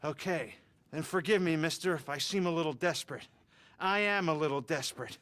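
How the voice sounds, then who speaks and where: A man's voice: on the high side, brisk, a bit bright, close to an everyday voice. A man playing an eager, flustered, bookish biographer in a western video game, with an earnest, wordy manner. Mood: pleading. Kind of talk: video game dramatic acting.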